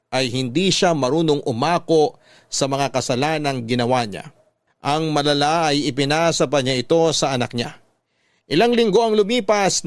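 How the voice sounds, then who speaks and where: A man's voice: on the high side, no echo. A man with a Filipino accent reading a scripted personal love story as narrator, in classic radio storytelling style.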